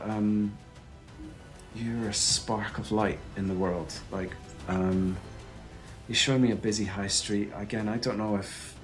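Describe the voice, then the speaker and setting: A man with a Scottish accent, a psychic medium guest speaking earnestly and reflectively on a video call. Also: fairly low pitch. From Scotland. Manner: earnest, reflective, searching for words. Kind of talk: conversational interview over video call.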